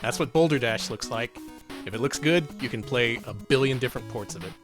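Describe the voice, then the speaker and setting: A man's voice: mid-range voice, fairly quick, over music. A man co-hosting a retro game stream, casual and sarcastic, laughing often and swearing. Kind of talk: casual gaming chat.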